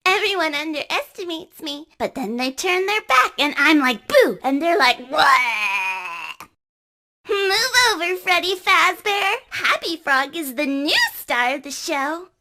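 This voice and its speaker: A woman's voice: high-pitched, very animated, unhurried, sharp tone, full character voice. A woman voicing a playful, sneaky frog mascot animatronic in a game, bubbly and cheeky.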